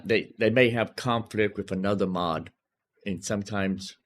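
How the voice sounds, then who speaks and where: A man live streaming a video game, commentating casually as he thinks aloud and repeats himself. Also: lowish voice.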